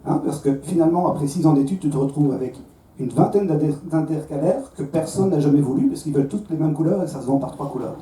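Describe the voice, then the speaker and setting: A man's voice: on the high side, mellow, a little hiss or hum. A man from Belgium with a Belgian French accent, in his thirties, doing a self-mocking stand-up set on a comedy club stage, with stammered restarts. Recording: roomy club sound from a distance, faint crowd.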